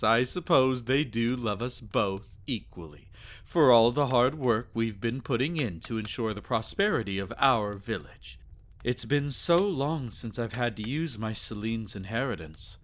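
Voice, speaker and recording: A man's voice: medium pitch, expressive, a little slow, fairly bright tone. Man acting as a tender, formal vampire lover. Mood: teasing, affectionate. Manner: tender, formal, old-fashioned phrasing. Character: devoted, gentle male vampire lover and alchemist. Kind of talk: intimate ASMR roleplay audio drama.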